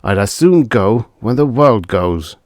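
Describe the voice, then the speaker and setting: A man's voice: on the low side, expressive, a little slow, a little mellow, no room sound, studio quality, voice pushed up. A man voicing a weary, stubborn but tender husband in an audiobook story. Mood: stubborn, angry.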